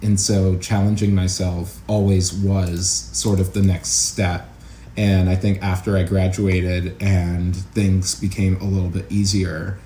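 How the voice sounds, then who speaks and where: Deep-voiced guy, acting teacher chatting in an interview, thinks it through as he goes, echoey room. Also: dark tone. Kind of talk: casual interview conversation. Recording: roomy echo, faint background noise.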